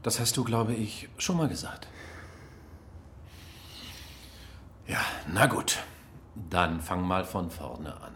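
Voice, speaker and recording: Radio drama: evasive, ironic diplomat, fairly low voice, dry delivery, light background ambience. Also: male voice, animated, measured pace, a bit bright, slight background noise. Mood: dry impatience. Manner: dry, ironic, smooth-talking. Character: wry, evasive male German diplomat, drily sarcastic. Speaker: actor in a radio crime drama. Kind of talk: naturalistic radio drama acting.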